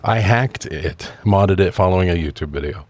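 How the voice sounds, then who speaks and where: A man's voice: low voice, normal speed, a little mellow. A middle-aged American man co-hosting a podcast, telling stories casually with wry, freely profane humor. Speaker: podcast co-host. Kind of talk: casual storytelling banter.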